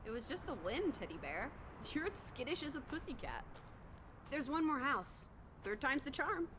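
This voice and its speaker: A woman's voice: sits high, very animated, normal speed, noisy background. A woman voicing a playful, teasing, carefree young companion in a video game, with a breezy, cheeky delivery.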